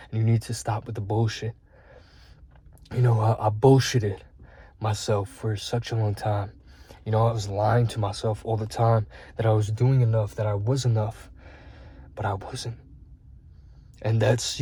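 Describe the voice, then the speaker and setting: A young man in his twenties talks straight to his viewers in a husky, mellow voice. He is earnest and rambling, leaning on fillers and curse words as he pushes his self-improvement message. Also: on the low side. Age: 20s. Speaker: vlogger. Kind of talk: candid self-improvement talk to camera.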